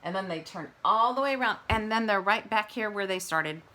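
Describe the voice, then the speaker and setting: A woman kindergarten teacher reading aloud, pausing to explain and ask questions of young children. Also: mid-range voice, sharp tone.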